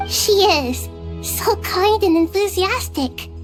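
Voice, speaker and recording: A woman's voice: very high voice, expressive, slow pace, over music, heavily acted. A woman voicing a small, perky, childlike fairy companion in a video game. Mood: cheerful.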